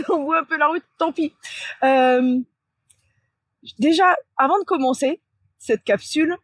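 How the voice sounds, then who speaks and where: A woman with a slightly husky voice talks casually to viewers, explaining things in a halting way with self-corrections. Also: high voice, no echo. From France. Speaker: channel host and spiritual coach. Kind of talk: casual vlog talk to viewers. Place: outdoors beside a large tree near a road.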